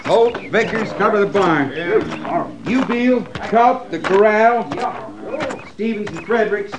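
An actor playing a brisk, dutiful cavalry sergeant in a radio Western, speaking in crisp military fashion. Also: male voice, high voice, lively intonation. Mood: urgent, commanding. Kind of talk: old-time Western radio drama acting. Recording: noisy old radio recording.

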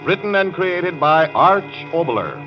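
A man announcing a 1940s radio drama in a crisp, showy manner, on a dull old radio recording with music. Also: on the high side, animated, normal speed.

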